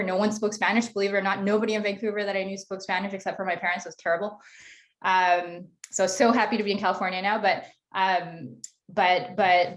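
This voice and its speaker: A woman telling her own story in a casual, rambling way on a video call, with many restarts and self-deprecating asides. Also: medium pitch. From Canada. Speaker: panelist.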